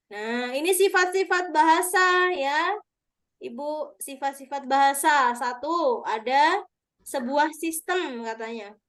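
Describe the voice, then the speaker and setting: A woman from Madura teaching an online review session, explaining patiently and checking answers with the participants. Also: fairly high pitch. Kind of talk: online exam review lesson.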